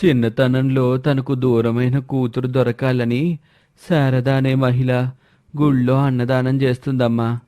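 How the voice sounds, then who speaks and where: Man as respectful helpful local, mellow voice. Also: medium pitch, flat delivery, a little slow, dry recording, clean studio sound, lightly acted. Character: helpful man explaining a temple charity feast. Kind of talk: Telugu moral story character acting.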